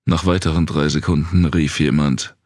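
A man narrating a dark post-apocalyptic thriller audiobook in the first person, reading from a script. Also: extremely low pitch, steady tone, normal speed, dry recording, studio quality.

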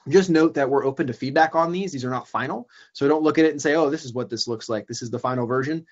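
A young man presenting a software training webinar over a video call, explaining in a casual way with many ums and uhs, and a bit hoarse from losing his voice. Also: medium pitch, fast, dull audio. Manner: casual, filler-heavy explaining. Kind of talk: screen-share software demo. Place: small room indoors. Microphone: video call webcam.

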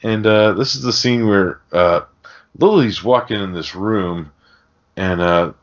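A man with a low voice chats casually as a podcast host recapping a film. His talk is slangy and full of swearing. The recording is a little dull and lo-fi. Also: unhurried.

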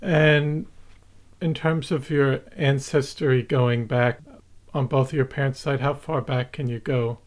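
A man's voice: mid-range voice, fairly even intonation, a little slow. Formal-sounding podcast host man. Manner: formal, ornate wording. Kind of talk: scripted introduction and interview questions.

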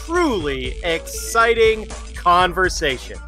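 A man co-hosting a kids' science podcast, excitable and upbeat. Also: high-pitched, takes it slowly, faint music behind. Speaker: podcast co-host.

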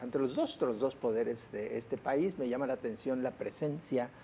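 Mellow Mexican man commenting on the news. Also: medium pitch, moderate pace, creaky, slight background noise. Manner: conversational, with many hesitant fillers.

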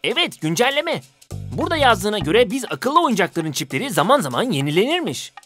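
Animated, earnest cartoon toy helicopter. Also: female voice, mid-range voice, fairly quick, faint music behind. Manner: explanatory, easily amazed. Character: earnest, wide-eyed toy helicopter, helpful know-it-all. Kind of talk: children's animated series voice acting.

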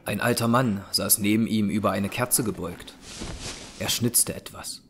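A man's voice: on the low side, a little slow, slight background noise. A man narrating an audio drama in a scripted, dramatic storytelling style. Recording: voice over prominent music. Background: music.

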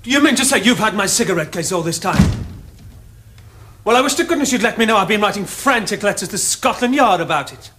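High-voiced, indignant young Victorian gentleman. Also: animated, fairly quick, slight background noise. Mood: indignant, evasive. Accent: upper-class English. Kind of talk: period stage comedy acting for television.

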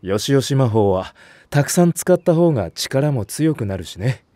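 A man voicing a calm, fatherly senior nursery teacher in a voice drama, patient and gently firm. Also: mid-range voice, normal speed, dry recording, clean studio sound, close to an everyday voice. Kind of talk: Japanese voice drama acting.

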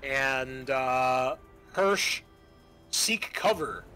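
A man acts as game master at a tabletop game, with a bright, forward voice at a middling pitch. He narrates casually and hesitantly, pausing between phrases and switching into reading rules. Faint music plays behind him. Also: clear, resonant tone.

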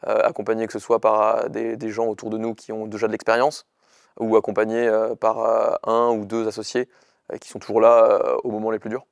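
Fast-talking young man, flat pitch. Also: vocal fry, dry recording. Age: young adult. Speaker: startup co-founder and chief executive. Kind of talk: interview testimonial to camera. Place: indoor studio with dark backdrop. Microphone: lapel mic.

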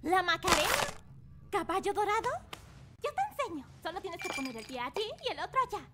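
Casting: perky young female cartoon cat played by a woman, Latin American Spanish, extremely high and swooping, bubbly and bossy. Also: lively intonation, brisk, heavy background noise. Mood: playful, bossy. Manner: bubbly, eager. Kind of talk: animated cartoon fandub acting. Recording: loud music behind the voice.

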